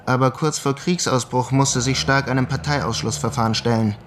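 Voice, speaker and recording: A man's voice: medium pitch, fairly even intonation, normal speed, a bit bright, slight background noise, close to an everyday voice. An actor playing a probing, matter-of-fact male investigator in a radio drama, inquisitive and businesslike in his way of talking. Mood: concerned, businesslike. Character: brisk, probing male investigator questioning scientists.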